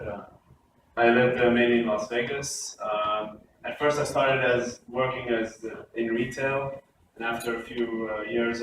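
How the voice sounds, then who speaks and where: 30s guy from south africa introducing himself to class, lots of uhs, mic far away, big echo. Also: mid-range voice. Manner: hesitant, many fillers. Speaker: MBA student. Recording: echoey room, from far off, noisy.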